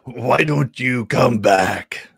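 Man acting a gravelly, husky crime-lord slug. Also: mid-range voice, drawn-out words, no echo, studio quality, heavily acted. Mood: mock-grand. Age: middle-aged. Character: male crime-lord slug, brash, lewd and theatrical. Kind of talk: comic live table read.

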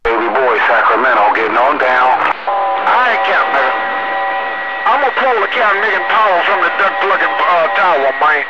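A man's voice: a little high, sing-song, normal speed, loud music behind the voice. A man trading casual slangy banter as a CB radio operator, heard over the radio. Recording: dull, very noisy CB radio transmission.

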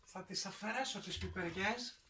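A middle-aged woman hosting a home cooking demonstration in her kitchen, explaining each step in a homely, encouraging way. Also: mid-range voice. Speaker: cooking show host. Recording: kitchen noise behind voice.

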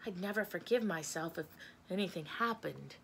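A woman's voice: on the high side, very animated, slow pace, sharp tone, full character voice. A woman voicing a kindly but bossy male house ghost in a storybook, with a commanding, scolding manner. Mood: worried, kindly.

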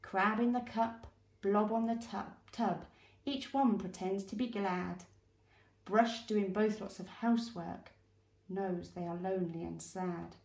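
British female storyteller for young children, slow and expressive, recorded in an echoey room. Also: mid-range voice, creaky. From United Kingdom. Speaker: early years teacher reading aloud.

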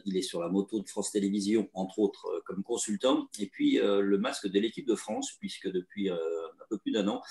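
A middle-aged man hosting an online webinar, lively as he introduces the guest. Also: on the low side, echoey room. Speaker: webinar host.

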